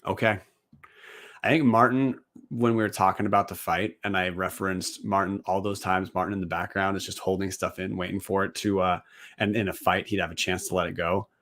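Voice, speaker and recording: A man's voice: low voice, fairly even intonation, brisk, fairly bright tone. A man co-hosting a casual sports podcast, teasing his co-hosts and rambling as he talks. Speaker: podcast co-host.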